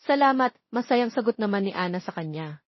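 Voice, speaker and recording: A woman's voice: medium pitch, fairly quick, no room sound. A woman with a Filipino accent reading a scripted romance story as an audiobook narrator. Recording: dull lo-fi audio.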